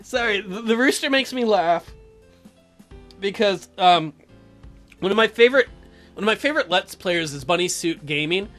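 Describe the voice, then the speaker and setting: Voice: mid-range voice, expressive, normal speed, long pauses, a bit bright, soft background music. A let's play host reading a game aloud and commenting with playful, teasing asides. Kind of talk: gameplay commentary with dramatic read-aloud.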